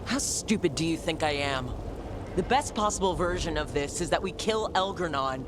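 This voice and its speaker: A man plays a young game hero, earnest and stung, with a high male voice. The delivery is blunt and emotional, cutscene drama through and through. Ambient rumble is clearly heard behind it. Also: lively intonation, normal speed, natural voice. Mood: bitter.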